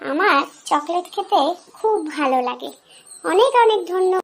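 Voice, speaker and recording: A woman's voice: very high voice, lively intonation, moderate pace, gravelly, heavily acted. A woman voicing a plaintive young boy in a children's cartoon, speaking in a repetitive, childlike way. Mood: delighted. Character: whiny young boy who loves chocolate dreams.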